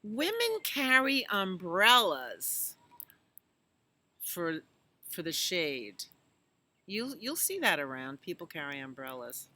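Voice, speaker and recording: Bright-voiced woman reading slowly to children. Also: fairly low pitch. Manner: animated, exclamatory, asks listeners questions. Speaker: children's storytime reader at a library. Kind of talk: picture-book read-aloud with chatty asides to children.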